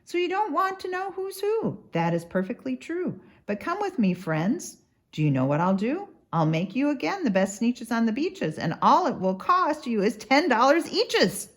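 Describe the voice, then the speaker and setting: A woman voicing a sly, smooth-talking male huckster from a storybook, with persuasive sales patter. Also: high-pitched, very animated, normal speed. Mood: sly, coaxing.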